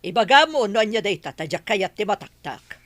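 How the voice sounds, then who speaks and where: A woman's voice: medium pitch, big pitch swings, brisk, no echo. An actress playing a stern, suspicious mother and lady boss in a radio drama, speaking in a curt, demanding way. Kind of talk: Ilocano radio drama acting.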